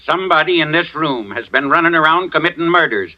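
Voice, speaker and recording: A man's voice: a little high, animated, fast, close to an everyday voice. An actor playing a blunt, tough-talking male sheriff in a 1940s radio drama. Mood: gruff. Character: gruff impatient small-town male sheriff. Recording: dull lo-fi old radio recording.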